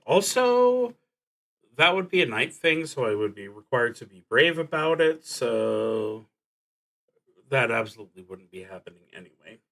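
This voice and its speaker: Slow, halting man narrating solo roleplay. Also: on the low side, a bit bright. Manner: hesitant, halting, trailing off mid-thought. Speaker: solo tabletop game player and streamer. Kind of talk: improvised live commentary on a solo roleplaying game, thinking aloud.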